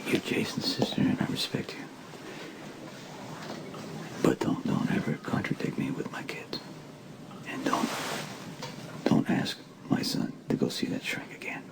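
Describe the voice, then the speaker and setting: An actor playing a stubborn, protective father in a television soap drama, speaking emphatically and haltingly. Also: whispered, lightly acted. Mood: stern. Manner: emphatic, halting, repeats words. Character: protective, stubborn, angry father of a troubled boy. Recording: clearly noisy background ambience.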